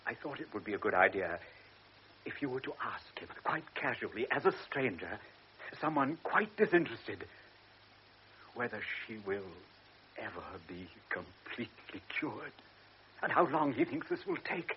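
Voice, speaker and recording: An actor playing a frail, anxious elderly baron who is a devoted father. He speaks earnestly, stammers and drops into hushed tones. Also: medium pitch, close to an everyday voice. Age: older. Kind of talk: classic radio drama acting. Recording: lo-fi radio recording with faint hiss.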